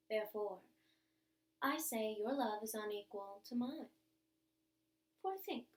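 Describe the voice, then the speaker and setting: Echoey room, woman, slightly high voice, dramatic love-letter reading, expressive, deliberate pauses. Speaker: reader of bedtime stories.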